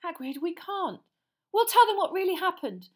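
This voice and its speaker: A woman voicing a clever, tearful teenage girl in a storybook reading, pleading in a high, strained voice. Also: big pitch swings, moderate pace. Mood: distraught.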